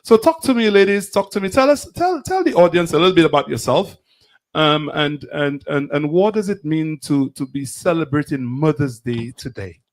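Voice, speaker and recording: A man's voice: a little high, moderate pace. A man hosting a talk show, rambling and repeating words as he handles call-in guests. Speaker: host.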